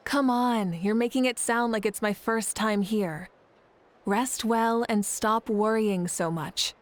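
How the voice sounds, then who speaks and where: A woman playing a calm, self-assured leader who speaks in an unhurried, gently teasing way. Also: a little high.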